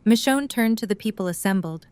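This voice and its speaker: A woman reads scripted story narration. Her voice is mid-pitched and cleanly resonant, suited to an audio drama narrator. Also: expressive, a little fast, a little mellow, smooth.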